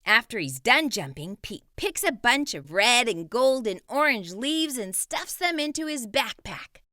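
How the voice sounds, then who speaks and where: A woman reading a children's picture book aloud, with a lively, expressive delivery. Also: on the high side, a little slow, dry recording, studio quality. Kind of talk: read-aloud storytelling.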